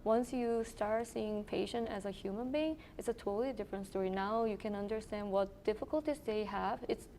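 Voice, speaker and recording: Korean-accented woman in her thirties, mid-pitched, thoughtful and hesitant with frequent fillers; faint room hiss. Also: a little fast, few pauses, mellow. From South Korea. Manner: thoughtful, with frequent ums and you-knows. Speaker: internal medicine resident. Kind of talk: interview answers.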